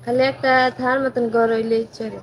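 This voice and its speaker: A woman's voice: on the high side, level tone, normal speed, slight background noise, rough recording. A woman with a rural regional Bangladeshi accent giving short, plain answers as a guest in a casual interview.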